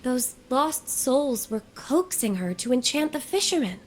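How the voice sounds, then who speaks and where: A woman voicing a gentle, earnest young female scholar in a video game, speaking in a measured, articulate, softly formal way. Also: high-pitched, sing-song, slight background noise. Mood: earnest, explanatory. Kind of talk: video game character dialogue.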